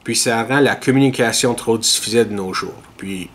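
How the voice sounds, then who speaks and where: A man, hosting a podcast and giving measured, opinionated commentary to a webcam in a small room. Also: medium pitch, fairly bright tone. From Ontario, Canada. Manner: measured, earnest and opinionated, talks straight to camera. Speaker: podcast host. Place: small indoor room with dark curtains.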